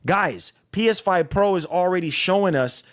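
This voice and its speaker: A man's voice: high voice, expressive, moderate pace, a bit bright. A man in casual livestream chat, speaking emphatically in slang and repeating himself as he goes. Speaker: livestream host.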